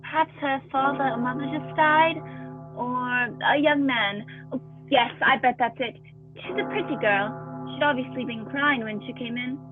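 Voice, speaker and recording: A woman playing a genial, avuncular old gentleman doctor who tells stories in a radio play. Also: high-pitched, normal speed, natural voice. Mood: sympathetic. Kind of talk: radio play acting and narration. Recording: dull lo-fi dry audio, faint music.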